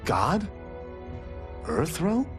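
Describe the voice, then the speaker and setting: An actor playing a brash, eager young martial arts fighter in a video game, bold and incredulous. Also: male voice, a little high, huge pitch swings, very slow, over music, exaggerated voice. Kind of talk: scripted video game cutscene acting.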